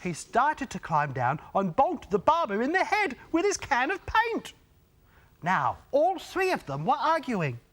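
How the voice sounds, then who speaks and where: A man reading a children's storybook aloud in a theatrical way, drawing out phrases and using dramatic pauses. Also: extremely high pitch, wildly swooping pitch. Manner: theatrical, with drawn-out phrases, gasps and dramatic hushes. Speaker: storybook reader.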